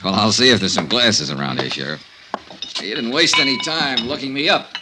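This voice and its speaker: A man's voice: medium pitch, lively intonation, fairly quick, heavy background noise, close to an everyday voice. An American man playing a cool, wry, hardboiled insurance investigator, clipped and dry, in a 1950s radio detective drama. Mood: cool, guarded. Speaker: lead and narrator. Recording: 1950s radio recording, faint music.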